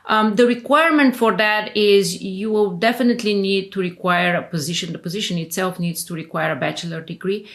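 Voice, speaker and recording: Gravelly-voiced woman from Bulgaria. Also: mid-range voice, a little fast, hardly any pauses, a bit bright. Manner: hesitant, many fillers and restarts. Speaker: immigration attorney guest. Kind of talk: casual interview talk.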